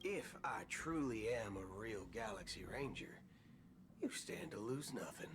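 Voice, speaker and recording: Cocky cyborg cowboy from a game, slow theatrical drawl, clear background noise. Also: male voice, lowish voice, animated. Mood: folksy swagger. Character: swaggering cocky male cyborg gunslinger, folksy space cowboy.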